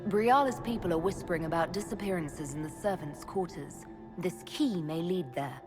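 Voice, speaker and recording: A woman's voice: fairly low pitch, moderate pace, close to an everyday voice. Woman doing wary leader lady in a fantasy game, plain dry delivery, hall echo and music. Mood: guarded, polite. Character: composed, wary female leader of a holy order. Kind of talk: video game dramatic dialogue. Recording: long hall reverb under music.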